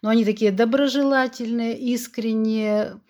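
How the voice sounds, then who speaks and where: Woman around sixty, from Russia. Candid, lively guest voice, speaks openly in conversation, loves to retell scenes with dialogue. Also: fairly high pitch, moderate pace, lo-fi sound. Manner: frank, animated, tells anecdotes with quoted dialogue. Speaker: podcast guest.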